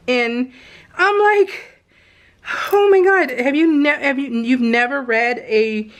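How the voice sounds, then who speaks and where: High, creaky-voiced chatty woman. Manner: gushing, slangy, animated storytelling with exclamations. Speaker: craft vlogger. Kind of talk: casual chatty real-time craft video voiceover.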